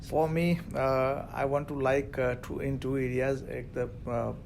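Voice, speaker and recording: A man studying English, talking on a student podcast in hesitant, non-native English with many pauses. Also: steady tone, gravelly. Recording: noisy amateur recording with rumble.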